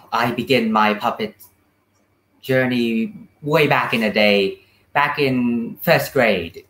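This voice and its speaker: A teenage young man talks slowly in a mid-pitched voice. He phrases things carefully and haltingly as an interview guest. The video call sounds echoey. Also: a bit bright. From Vietnam. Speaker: student puppeteer, interview guest. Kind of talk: casual interview talk. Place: indoor room, on a video call.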